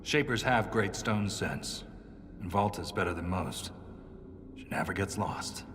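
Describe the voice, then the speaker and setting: An actor playing a battle-weary dwarven lieutenant in a video game, with a dry, blunt, sardonic delivery. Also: male voice, low-pitched, measured pace, noisy background. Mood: matter-of-fact. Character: wry, battle-weary male dwarven lieutenant, veteran soldier. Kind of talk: video game cutscene acting. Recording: long cavernous reverb, music underneath.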